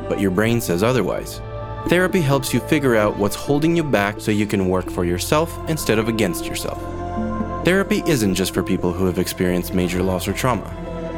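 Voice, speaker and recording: Slightly low-voiced man reading a podcast ad. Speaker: sponsor ad reader. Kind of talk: scripted podcast ad read.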